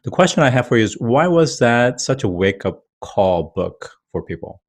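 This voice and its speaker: A man in his thirties hosting an interview over a video call, asking measured questions and affirming what his guest says. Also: medium pitch, big pitch swings. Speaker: host. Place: room with a wood-panel wall. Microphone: video call with a large studio mic.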